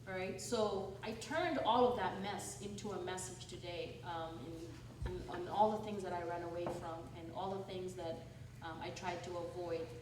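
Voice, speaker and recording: A woman's voice: lowish voice, fairly even intonation, moderate pace. A woman from Malawi whose accent shifts between Malawian, American and British, telling stories from her life at a book event and acting out the voices she quotes. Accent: Malawian mixed with American and British. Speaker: author and speaker. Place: church hall. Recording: roomy hall, noisy, from a distance.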